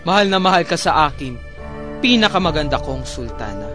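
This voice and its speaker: Casting: actor as courtly, adoring royal husband, high male register, formal radio-drama delivery over music. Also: sing-song, a little fast. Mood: doting. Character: doting, patient sultan and husband. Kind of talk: melodramatic radio-drama acting. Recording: music behind.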